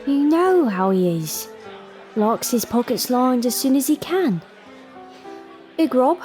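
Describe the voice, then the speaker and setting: An actress playing a weary, sharp-tongued barmaid in an audio drama, wry and guarded, with a working-class English accent. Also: female voice, sits high, expressive, slow pace, soft background music. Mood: dry, teasing.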